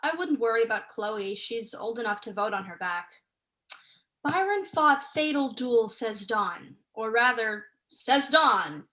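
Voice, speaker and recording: A young woman student actor playing a sharp, unsentimental, sceptical scholar in a staged play reading. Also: a little high, expressive, a little slow, poor audio. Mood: dry, dismissive. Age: young adult.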